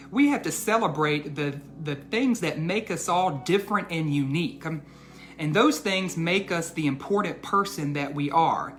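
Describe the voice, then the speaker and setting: A man's voice: a little high, a bit bright. Male educator reading aloud for children. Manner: deliberate emphatic phrasing, addressing children directly. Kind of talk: children's bedtime read-aloud and talk to young listeners.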